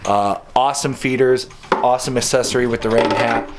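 A man's voice: mid-range voice, steady tone, fairly quick, heavy background noise. A man in his thirties hosting a homesteading vlog, chatting eagerly about farm gear. He films himself with a handheld camera in a barn and a farmyard. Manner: upbeat, chatty, enthusiastic, with some stumbles. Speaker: homesteading channel host and father. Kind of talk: casual product demo vlog. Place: wooden barn and outdoor farmyard. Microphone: handheld camera at arm's length.

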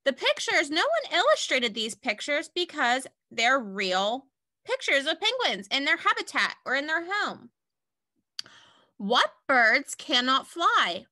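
A woman reading aloud to young children like a teacher, in an animated way with simple explanatory asides. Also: high-pitched, no echo.

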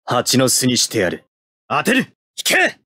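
A man's voice: mid-range voice, wildly swooping pitch, a little slow, pushed and bright, no echo, studio quality, exaggerated voice. A man playing a cold, stoic young mecha pilot in an anime video game, speaking in terse, clipped military phrases. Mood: fierce, shouting effort. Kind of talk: anime game battle voice lines.

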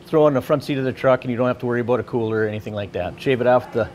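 A man's voice: mid-range voice, very fast, a little mellow. A man in his forties, a shop owner, casually and matter-of-factly explaining his products to a visitor. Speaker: grocery store owner and sausage maker. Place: small grocery store and its back room.

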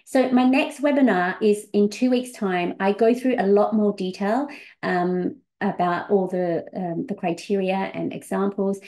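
A woman's voice: medium pitch, steady tone, unhurried, a little mellow. A woman in her forties, a visa coach, presenting a webinar over a video call, earnest with many fillers.

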